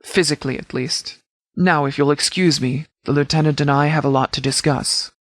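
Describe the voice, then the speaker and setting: A man acting a stern, authoritative sheriff in a video game, speaking in a formal, measured, commanding way. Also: on the high side, steady tone, a bit bright, no echo, natural voice. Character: stern, authoritative male sheriff of a wasteland militia. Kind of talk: scripted video game character dialogue.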